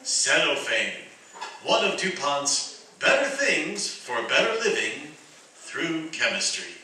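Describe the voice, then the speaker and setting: Very bright male radio pitchman, echoing. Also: sing-song, slow. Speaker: radio commercial spokesman. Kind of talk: scripted 1940s radio advertisement. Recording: very echoey hall, distant mic.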